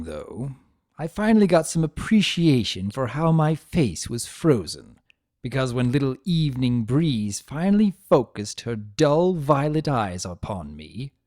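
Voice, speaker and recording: A man narrating a story as an expressive, theatrical dramatic reading. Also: fairly high pitch, no echo, clean studio sound. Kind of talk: dramatic fan fiction reading.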